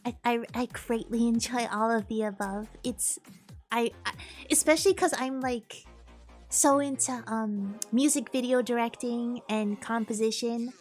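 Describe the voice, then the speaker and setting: Bubbly high-voiced woman streamer. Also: steady tone, unhurried. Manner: bubbly, upbeat, with playful bits of singing. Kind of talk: casual chat with stream viewers. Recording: faint music behind.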